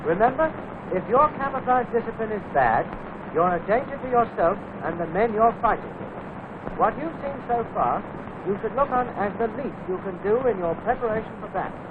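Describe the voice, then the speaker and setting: Tinny vintage British military film narrator, high-pitched man. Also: expressive, fairly quick. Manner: instructive, clipped, addressing soldiers directly. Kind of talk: scripted 1940s military instructional narration. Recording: tinny, noisy vintage wartime film soundtrack.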